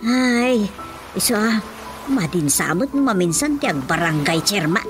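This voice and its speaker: A woman's voice: mid-range voice, expressive, a little slow, close to an everyday voice. A woman playing a fretful, complaining mother of a grown daughter in a radio drama comedy, grumbling in an Ilocano accent. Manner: exasperated, grumbling. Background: music.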